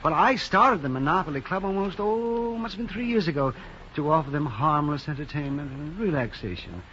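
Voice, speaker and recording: On a muffled, hissy fifties radio recording, a prim, nervous American man who owns a club. His voice sits fairly high and swoops about as he hedges and fusses in a hesitant, flustered way. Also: animated, brisk, fairly bright tone. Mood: chatty, eager. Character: nervous, genteel older male club owner, evasive and prim. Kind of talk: 1950s radio drama acting.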